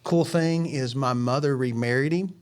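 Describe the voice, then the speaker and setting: A man's voice: medium pitch, normal speed. A man in his forties, a ministry founder, telling his story as a podcast guest in an earnest, rambling way with many restarts. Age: 40s. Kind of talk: casual chat.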